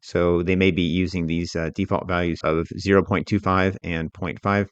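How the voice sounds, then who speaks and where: A man giving an explanatory software tutorial as an instructor, talking through it step by step in a measured, unscripted way. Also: low-pitched, little pitch movement, clean tone, no room sound, dull audio.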